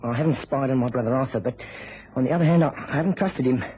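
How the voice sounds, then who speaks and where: A man's voice: mid-range voice, fast, a little hiss or hum, close to an everyday voice. An actor playing an anxious, earnest British policeman in a radio drama, apologetic and agitated. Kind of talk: mid-century radio drama acting. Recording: muffled old radio broadcast.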